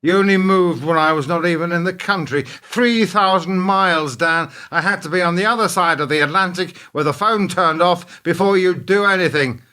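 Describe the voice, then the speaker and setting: An actor playing a wounded, principled middle-aged businessman in a radio play, speaking in a halting, wry, pointed way. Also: sits high, bright, forceful tone, clear, resonant tone. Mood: bitter, wry. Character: wounded, principled businessman confronting his treacherous partner.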